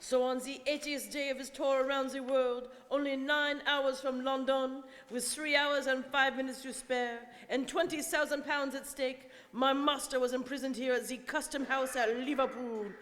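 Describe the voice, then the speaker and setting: A man acts a loyal French manservant in a radio play. The French-accented voice is high, anxious and dramatic, heard in a slightly reverberant hall. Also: flat delivery, normal speed, hardly any pauses, sharp tone. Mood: distraught. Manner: plaintive, dramatic. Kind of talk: stage radio-drama acting. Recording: hall reverb, community studio performance.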